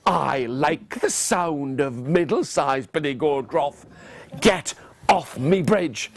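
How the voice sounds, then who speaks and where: A man voicing a huge, hungry, scary troll in a children's story, with slow, drawn-out menace. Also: on the high side, lively intonation, dry recording, voice pushed down, heavily acted. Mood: greedy, menacing.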